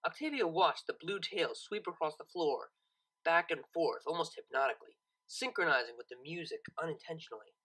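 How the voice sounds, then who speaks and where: An expressive storyteller reads scripted fiction. The voice is bright and sharp, moving through a lively range of pitch. Phrasing is dramatic and deliberate. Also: poor audio. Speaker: narrator, audiobook reader.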